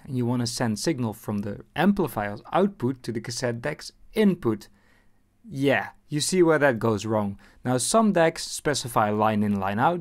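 A man narrating a casual how-to lesson as presenter, explaining things in an informal, wry way. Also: mid-range voice.

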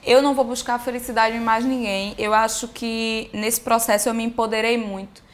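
Animated young Pernambuco-accented woman. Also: fairly high pitch, normal speed. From Pernambuco, Brazil. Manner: animated, expressive, gestures while talking. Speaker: singer-songwriter guest. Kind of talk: casual interview chat. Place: sunny living room, seated on a sofa.

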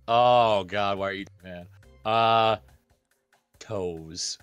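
A man's voice: fairly low pitch, fairly even intonation, extremely slow, a bit bright, clear, resonant tone, dull audio, soft background music. A man reading aloud playfully among friends in a word game, prone to breaking into laughter.

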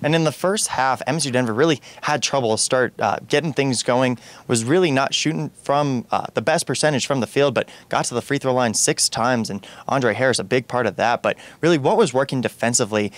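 A young adult man conducts a postgame sports interview as the reporter. His voice sits at a middle pitch. He speaks into a handheld microphone, and the sound is dry with little room in it. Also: a little fast, hardly any pauses, no room sound. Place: indoors in front of a media backdrop.

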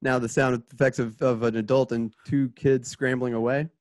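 A man acts as narrator for a radio drama recorded on a home call. His voice is medium in pitch as he reads scripted action and spoken sound effects. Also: flat delivery, moderate pace, a little mellow. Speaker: narrator reading stage directions. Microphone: home video call.

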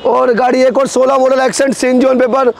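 High-voiced man pitching used cars. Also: level tone, gravelly. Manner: rapid, repetitive price patter. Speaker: used car dealer. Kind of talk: sales pitch listing prices. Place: outdoor used car lot.

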